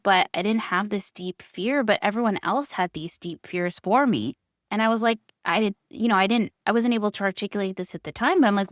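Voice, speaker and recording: A woman's voice: mid-range voice, no echo, studio quality. A woman in her thirties with an American accent, talking candidly and emphatically as a solo podcast host. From United States (California), born in Hungary. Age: 30s. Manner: conversational, emphatic, repeats phrases for stress.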